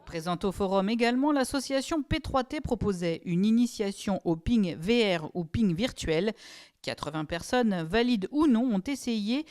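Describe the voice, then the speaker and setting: A woman's voice: mid-range voice, lively intonation, normal speed, a little mellow. A woman reading a scripted voice-over for a local news report, as a reporter.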